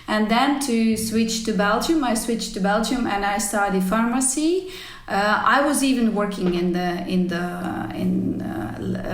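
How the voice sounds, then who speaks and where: In a roomy, echoing space, a woman. Her pitch is medium, and her comes out in halting but emphatic stretches, like an interview guest recounting a career. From former Soviet Union. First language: not English. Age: 40s. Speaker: podcast guest, cycling team executive. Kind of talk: conversational interview storytelling. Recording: roomy, echoey sound.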